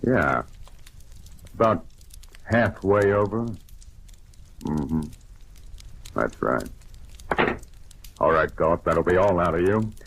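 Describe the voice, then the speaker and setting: A man's voice: low-pitched, animated, slow pace, creaky, long pauses, heavily acted. An actor playing a cold, threatening gangster boss in old radio crime drama, talking in clipped tough-guy slang. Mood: menacing, gloating. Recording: noisy old radio recording.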